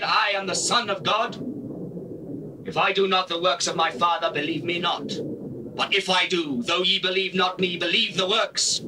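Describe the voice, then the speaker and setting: An actor playing a solemn, authoritative holy teacher in dramatized scripture, declaiming in measured phrases with deliberate pauses. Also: male voice, high-pitched, natural voice. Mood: impassioned. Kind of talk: dramatized scripture, classical stage delivery. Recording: faintly noisy old cassette.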